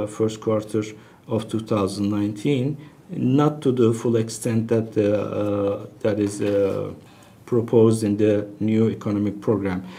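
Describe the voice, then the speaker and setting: A man presenting financial results as a bank executive, speaking in a halting, hesitant way with searching pauses. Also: fairly low pitch, mellow. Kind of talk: business presentation, unscripted financial briefing.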